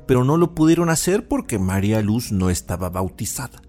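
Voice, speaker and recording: A man's voice: medium pitch, big pitch swings, a little fast, a little mellow, soft background music, natural voice. A man performing as the storyteller of a folk legend in scripted audio drama narration. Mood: narrating.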